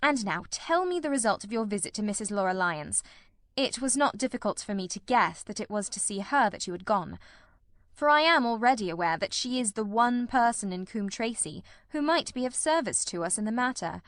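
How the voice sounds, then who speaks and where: A woman playing a calm, incisive male detective who explains his deductions in a measured, assured way in a dramatised reading. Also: medium pitch, dry recording, studio quality, close to an everyday voice. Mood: calm, analytical. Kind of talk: audiobook-style dramatised reading.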